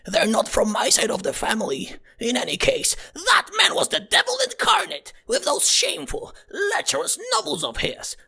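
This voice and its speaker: A woman's voice: fairly high pitch, huge pitch swings, normal speed, gravelly, hardly any pauses, bright, forceful tone, slightly rough, full character voice. A woman playing a prim, pious middle-aged aunt, once of a convent, who scolds and disapproves. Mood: disapproving. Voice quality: scolding, sanctimonious. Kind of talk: amateur audio drama.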